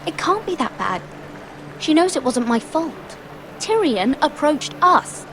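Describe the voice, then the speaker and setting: A woman playing a proud young noblewoman in a video game drama, measured, guarded and firm. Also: sits high, sing-song, noisy background, exaggerated voice. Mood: firm, defensive. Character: composed, proud young noblewoman serving as handmaiden. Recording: music behind the voice.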